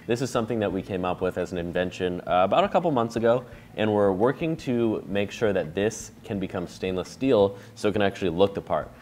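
Low-voiced man explaining a coffee brew. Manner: relaxed, chatty, explaining step by step with fillers. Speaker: coffee shop owner demonstrating a brew recipe. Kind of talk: casual how-to demonstration. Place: bright indoor room with a table.

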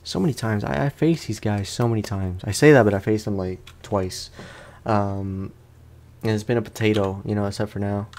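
A man's voice: on the low side, steady tone, normal speed, a little mellow. A man doing casual let's play commentary, talking in an informal, slangy way and often repeating his phrases. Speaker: gaming streamer.

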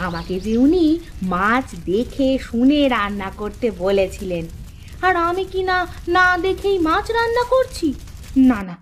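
A woman voicing a naive, simple-minded young wife in a cartoon, with plaintive, singsong, drawn-out exclamations. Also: medium pitch, slight background noise. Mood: flustered, earnest. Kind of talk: cartoon voice acting.

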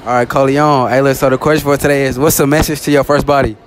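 A man's voice: medium pitch, flat delivery, fast, slight background noise. A young man running a casual street interview in a shopping mall, loose and joking, leaning on "all right, look" as he holds out a handheld mic.

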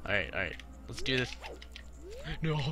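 A young adult man commentating casually on a video game for a live chat, slangy, profane and excitable, often repeating himself. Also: medium pitch, moderate pace, music in the background. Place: live game stream with game music behind the voice.

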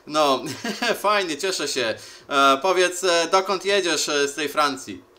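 A man chatting casually as an amateur radio operator, with a halting delivery. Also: on the high side, heavy background noise. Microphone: handheld camera beside the radio set.

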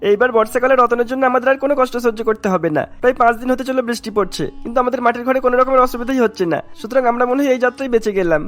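A performer voicing excitable villagers in a cartoon, speaking in a hurried, emphatic way. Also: medium pitch, faint music behind, close to an everyday voice. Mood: relieved. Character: agitated, complaining villagers and village headman. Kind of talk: cartoon character dialogue.

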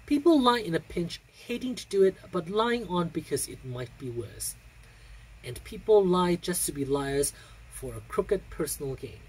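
An androgynous young adult reads poetry aloud in a very husky, breathy voice. The delivery is theatrical and declamatory, with lively swings in pitch and deliberate pauses. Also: rough recording. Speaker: poetry reader. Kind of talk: dramatic poetry recitation.

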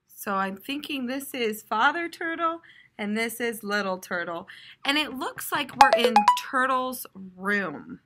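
A young American woman reads aloud like a primary school teacher, explaining as she goes. Her voice is fairly high and bright, with animated, expressive pitch. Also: a little slow, a little hiss or hum. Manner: expressive, explanatory, clearly addressed to children. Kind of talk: read-aloud lesson for young children. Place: classroom, indoors.

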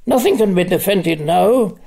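An elderly Scottish man voicing a selfish man thrilled by disaster in a story reading, eager and exclamatory, creaky and husky. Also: sits high, lively intonation, a little slow, warm tone, full character voice. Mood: exultant.

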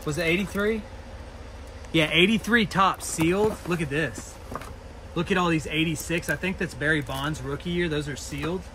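Excited man rummaging in a storage unit. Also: a little high. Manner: excited, rapid commentary while digging through boxes. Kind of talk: casual vlog narration. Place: indoor storage unit with metal walls. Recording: noisy storage unit ambience.

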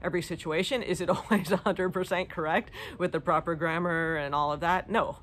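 A woman's voice: on the low side, steady tone, fairly quick, fairly bright tone. Casting: American woman, 30s, casual self-deprecating vlogger, informal delivery, recorded outdoors with audible ambient noise. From United States. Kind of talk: casual chat answering viewer questions. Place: outdoors in a leafy garden. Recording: clear outdoor background noise, garden ambience.

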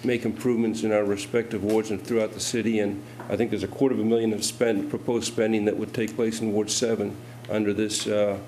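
A man's voice: lowish voice, flat delivery, fairly quick, few pauses, dark tone, a little hiss or hum. A middle-aged man alderman questioning budget figures with skeptical, pointed challenges during a city council debate, speaking into a desk microphone.

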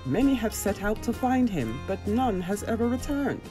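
A woman's voice: medium pitch, sing-song, music in the background, voice pushed up, natural voice. A woman voicing a wise, grave knight who counsels a young squire in a storybook. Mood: earnest counsel. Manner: measured, advising.

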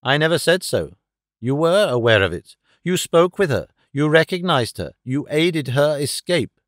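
Man playing a calm, incisive gentleman detective. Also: fairly high pitch, normal speed, dry recording, clean studio sound. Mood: calm, certain.

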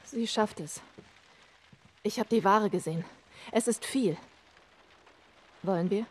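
Tough, terse smuggler. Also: female voice, mid-range voice, slow pace, a little mellow, slightly rough. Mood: businesslike. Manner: terse, commanding. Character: tough, determined, pragmatic female smuggler. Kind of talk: dramatic video game dubbing.

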